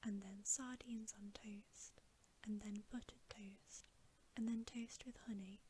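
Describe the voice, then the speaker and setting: A woman reading a bedtime story aloud as ASMR in a soft, breathy, unhurried whisper, close to the microphone. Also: medium pitch, fairly even intonation, slight background noise.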